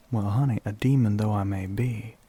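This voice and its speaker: A man voicing a flirty, sly, gentlemanly demon with a Southern US accent in an ASMR roleplay, half-whispered, breathy and husky, with a teasing drawl. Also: on the low side, steady tone. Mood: smooth, teasing. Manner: slow, teasing drawl with pet names.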